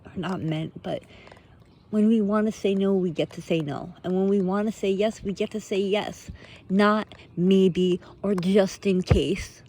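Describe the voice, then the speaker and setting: A woman giving an earnest, unscripted spiritual pep talk in short, halting phrases, often posing rhetorical questions. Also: medium pitch, fairly even intonation, warm tone. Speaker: motivational speaker, vlogger.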